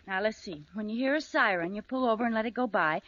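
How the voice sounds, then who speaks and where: A woman playing a scatterbrained, stubborn young housewife in an old radio sitcom, theatrical, blithely literal and quick to protest. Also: mid-range voice, expressive, lightly acted. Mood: innocent. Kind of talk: 1940s-50s radio sitcom acting. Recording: noisy, dull old radio recording, audience.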